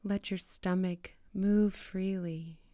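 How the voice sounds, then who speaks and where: Very slow, mellow woman guiding meditation. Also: mid-range voice, fairly even intonation, no room sound, clean studio sound. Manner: soothing, spacious, with long pauses between short phrases. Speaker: meditation guide. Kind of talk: guided meditation.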